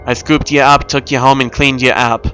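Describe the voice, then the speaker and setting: A man's voice: mid-range voice, flat delivery, moderate pace, bright, forceful tone, faint music behind, natural voice. A man voicing a sorrowful old crab father with old sailor phrasing in a cartoon story.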